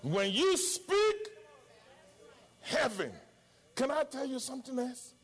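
Animated high-pitched Nigerian male preacher. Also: slow pace, breathy edge. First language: Yoruba. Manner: emphatic, repetitive preaching with rhetorical questions. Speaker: pastor. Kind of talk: impassioned sermon.

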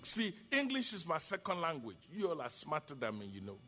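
Animated high-pitched Nigerian male preacher. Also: fairly quick. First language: Yoruba. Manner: emphatic, repetitive preaching with rhetorical questions. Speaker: pastor. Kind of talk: impassioned sermon.

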